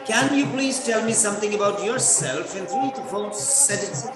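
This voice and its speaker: A man in his fifties with an Indian English accent, leading students through a practice speaking test. He talks briskly, calls out names and repeats his questions, and his voice rings with a roomy echo. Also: high voice, animated, music in the background. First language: Telugu. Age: 50s. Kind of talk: online class practice interview.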